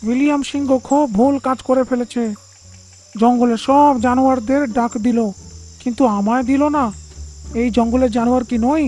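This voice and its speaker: A woman voicing a sly, envious male jackal in an animated fable, wheedling and aggrieved in manner. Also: fairly high pitch, normal speed, close to an everyday voice. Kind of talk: animated moral story dialogue.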